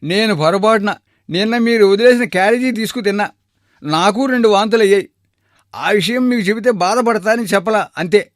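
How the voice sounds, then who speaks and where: A man's voice: high-pitched, fairly quick, dry recording, studio quality, natural voice. A man playing a deferential but plain-spoken shop servant in a cartoon moral story. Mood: protesting. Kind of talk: animated moral story dialogue.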